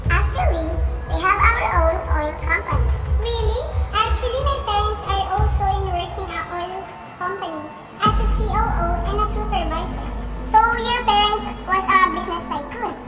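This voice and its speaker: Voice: extremely high pitch, normal speed. A young performer with a Filipino accent voicing a college student in an amateur puppet show. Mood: chatty. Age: young adult. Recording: echoey, distant voices under clear music.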